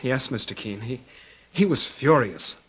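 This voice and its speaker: An actor playing a guilty, desperate young nephew under suspicion in an old-time radio drama, hesitant and defensive. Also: male voice, medium pitch, lively intonation, a little slow, a bit bright, slightly rough, exaggerated voice. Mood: rueful. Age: young adult.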